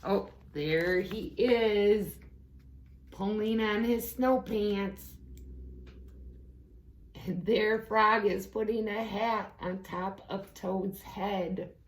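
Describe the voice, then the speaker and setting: Female children's read-aloud storyteller. Expressive, very unhurried, chatty asides, roomy echo. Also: mid-range voice.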